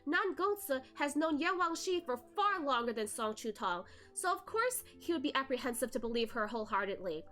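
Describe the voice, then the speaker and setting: A woman's voice: high-pitched, sing-song, brisk, hardly any pauses, sharp tone. A woman reading a novel aloud as a streamer host, narrating expressively with casual asides. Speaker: host of a novel read-along, virtual streamer.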